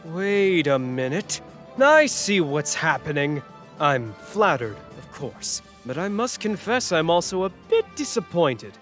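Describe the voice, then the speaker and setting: A man playing a smug, suave, courtly gentleman in game-character style. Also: a little high, big pitch swings, measured pace, faint music behind. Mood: smug, teasing.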